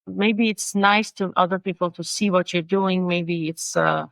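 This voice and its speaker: Interview guest, middle-aged woman, Persian accent, Farsi native, lowish voice, talks haltingly over a video call. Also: steady tone, vocal fry, fairly bright tone, no room sound. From Iran. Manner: halting, searching for words, frequent restarts. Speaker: guest, designer and language coach. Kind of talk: casual interview conversation.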